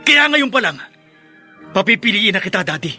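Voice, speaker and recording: Radio drama casting: a furious young Filipino son, high forceful voice, husky edge, accusing and seething. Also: male voice, very animated, moderate pace, faint music behind, heavily acted. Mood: furious, threatening. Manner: melodramatic, seething, confrontational. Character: angry young adult son, bitter and accusing.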